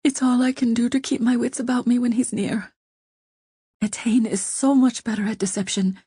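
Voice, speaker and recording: A woman's voice: on the high side, fairly even intonation, moderate pace, mellow, breathy edge, no room sound, studio quality, pitched above the performer's own voice. A woman voicing a trembling, desperate noblewoman. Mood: fearful. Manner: trembling, pleading whispers. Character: frightened, desperate noblewoman, grief-stricken and pleading. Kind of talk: audiobook reading.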